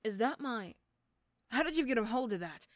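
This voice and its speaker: A woman's voice: a little high, animated, normal speed, no echo, studio quality, close to an everyday voice. A woman playing a sardonic, threatening but begrudgingly fond female supervillain in an ASMR roleplay. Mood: dry, casual.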